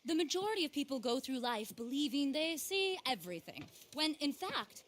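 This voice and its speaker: A woman's voice: high-pitched, very animated, normal speed, a bit bright, slight background noise. An actress playing a passionate, eccentric young woman naturalist in a video game, breathless and theatrical. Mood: rapturous, dreamy. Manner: breathless, theatrical, dreamy then indignant. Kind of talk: video game cutscene acting.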